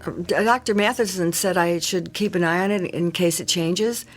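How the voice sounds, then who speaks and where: A woman's voice: on the low side, normal speed, lightly acted. An actress playing an anxious woman patient who stammers and trips over her words. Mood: nervous. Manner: stammering, nervous.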